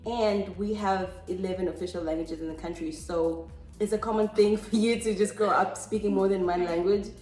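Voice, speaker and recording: A chatty South African woman and mother, hosting a casual family Q&A and pausing often with ums. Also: mid-range voice, fairly even intonation, darkish tone, echoey room, soft background music. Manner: chatty and hesitant, with frequent ums.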